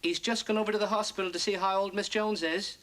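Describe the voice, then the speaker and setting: A comedian man doing a stand-up routine as a pretend phone call to his mother, firing off quick one-liners. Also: sits high, fairly even intonation, bright, forceful tone.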